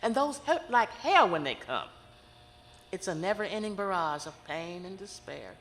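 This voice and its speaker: A woman playing a world-weary, brooding psychic who hears the dead in an audio horror drama, speaking intensely and confidingly with dramatic pauses. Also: lowish voice, sing-song, a bit bright, big-room sound. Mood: anguished, intense.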